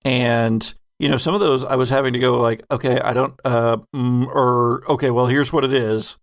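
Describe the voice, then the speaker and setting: A man talks casually as the game master looking back on a podcast. His voice has a gravelly fry at a middling pitch. The sound is dry, with hardly any room to it. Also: steady tone, normal speed, dry recording. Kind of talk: casual retrospective chat.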